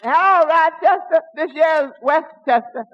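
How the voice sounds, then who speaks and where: An actor playing a gossipy, droll caller in 1940s radio comedy, with a drawn-out comic delivery. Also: male voice, very high-pitched, muffled recording. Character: Westchester, slow gossipy male caller. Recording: noisy vintage radio recording.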